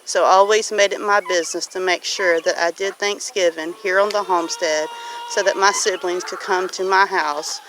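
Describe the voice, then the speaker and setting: A woman's voice: lowish voice, steady tone, normal speed. A middle-aged woman talking in a plainspoken, folksy, reflective way, answering questions casually for a homestead vlog. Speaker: homesteading vlogger. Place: outdoors on a farm homestead. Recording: outdoor noise with clicks, farm geese honking. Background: farm animals, geese honking.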